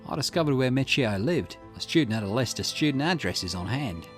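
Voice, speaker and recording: A man reading video game dialogue aloud in an expressive way, with the occasional small stumble. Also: mid-range voice, brisk, faint music behind. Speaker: gaming channel host reading a visual novel aloud.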